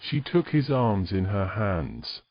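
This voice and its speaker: Low-voiced man narrating a story. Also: very animated, slow pace, muffled recording.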